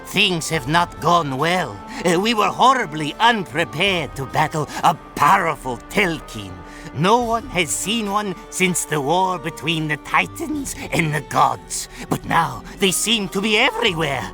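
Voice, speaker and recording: A man voicing an anxious, scholarly older priest in a video game, with a theatrical, halting delivery. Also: on the high side, sing-song, sharp tone, soft background music, heavily acted. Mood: relieved, worried. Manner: theatrical, halting, muttering then exclaiming. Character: anxious, scholarly male priest-mentor of an ancient order.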